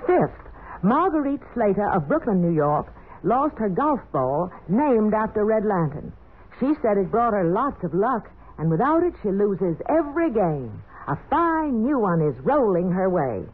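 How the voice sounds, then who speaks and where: A woman's voice: mid-range voice, sing-song, measured pace, husky. A woman announcing prizes on a 1940s children's radio show. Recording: muffled, tinny 1940s radio recording.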